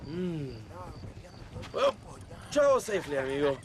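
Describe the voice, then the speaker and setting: Animated, boastful Mexican revolutionary. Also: male voice, on the high side, measured pace. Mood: glib self-satisfied. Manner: grandiose, glib. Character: vain, boastful male Mexican revolutionary leader, charming and shallow. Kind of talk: video game drama acting.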